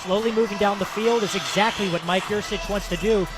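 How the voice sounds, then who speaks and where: Higher-voiced American man giving football analysis. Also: steady tone, brisk, over loud music. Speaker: color commentator. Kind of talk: live football analysis between plays.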